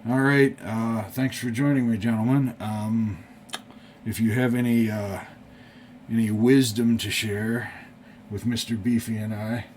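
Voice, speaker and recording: A man with a fairly low voice talks through a hobby repair at his workbench. His delivery is casual and unscripted, folksy and tentative as he thinks aloud. Faint background noise sits behind him. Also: flat delivery, measured pace, darkish tone. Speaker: host of a hobby electronics restoration video. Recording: clearly audible background noise.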